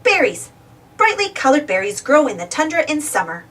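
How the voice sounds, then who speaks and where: Female children's read-aloud narrator, high register, hugely lively intonation, slightly distant mic. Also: normal speed. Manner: expressive, simple delivery for young children. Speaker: storybook reader.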